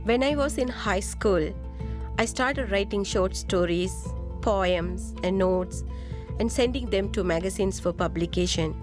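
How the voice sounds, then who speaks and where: A woman from Sri Lanka, a writer, telling her life story in a measured, deliberate first-person narration. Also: medium pitch. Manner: slow, measured, deliberate reading.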